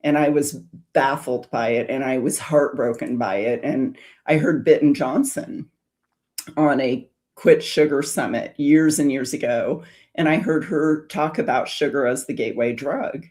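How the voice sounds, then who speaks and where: A woman's voice: rumbling low, fairly even intonation, measured pace, a little mellow. A woman speaking as an interview guest on a video call, earnestly telling a long story and often restarting her sentences. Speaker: guest, food addiction recovery specialist.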